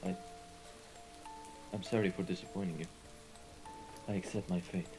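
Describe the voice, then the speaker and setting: A man's voice: sits low, steady tone, normal speed, a little mellow, soft background music. A man playing a loyal, deferential mafia henchman in a scripted ASMR roleplay drama, earnest and submissive toward a superior. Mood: resigned, devoted.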